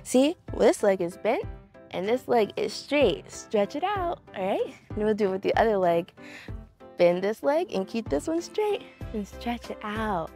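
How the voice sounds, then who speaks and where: A woman's voice: medium pitch, sing-song, measured pace, dark tone, faint music behind. A young woman presenting a children's read-along, encouraging and exclamatory, talking directly to her young audience. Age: young adult. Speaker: children's story time host.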